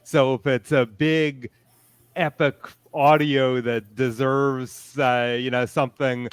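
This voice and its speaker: Clear-voiced man on a discussion panel. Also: mid-range voice, level tone, slow pace, no echo. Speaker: convention panelist. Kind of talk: casual, explanatory panel discussion.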